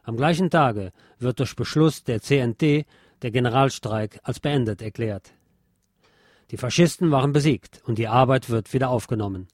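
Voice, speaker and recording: A man narrates a scripted historical programme. His voice sits at a middle pitch, and the recording is dry, with hardly any room sound. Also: a little mellow, no room sound. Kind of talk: scripted documentary narration, reading a historical text.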